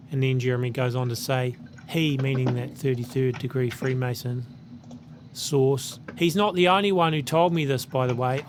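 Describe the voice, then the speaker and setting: A man's voice: fairly high pitch, slight background noise. A man with a New Zealand accent, hosting his channel and earnestly reading a letter aloud.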